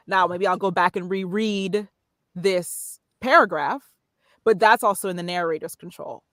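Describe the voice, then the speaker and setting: A woman's voice: on the low side, moderate pace, fairly bright tone, no room sound, studio quality. A woman speaking casually as an interview guest, explaining things in a conversational way. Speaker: podcast guest, voice-over artist and narrator.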